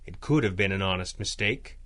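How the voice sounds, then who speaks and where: A man playing a confident, wry masked vigilante boss in a 1930s-style radio drama, measured and articulate. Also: low voice, a bit bright. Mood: measured, explanatory.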